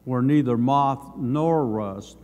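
An older man in his seventies giving a Sunday school Bible lesson, reading from notes in measured, halting short phrases. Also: medium pitch, dark tone, lo-fi sound. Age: older, around 70s. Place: church sanctuary, at a lectern. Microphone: gooseneck lectern microphone. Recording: long hall reverb.

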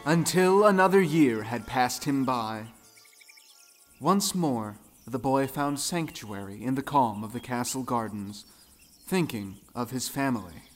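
A man narrating a scripted folktale in a solemn, measured, dramatic way. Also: mid-range voice, expressive. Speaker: storyteller. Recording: music under the voice. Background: music.

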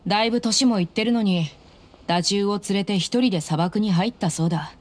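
A woman's voice: mid-range voice, a little fast, close to an everyday voice. A woman playing a bold, easygoing mercenary in a video game, tomboyish, blunt and familiar. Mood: teasing, curious.

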